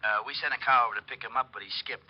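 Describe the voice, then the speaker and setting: Gruff slangy radio cop played by an actor. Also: male voice, medium pitch, very fast, lightly acted. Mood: grumbling. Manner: uneducated, slangy. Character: gruff, grumbling male police sergeant on the job. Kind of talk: 1940s radio drama acting. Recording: muffled old radio recording.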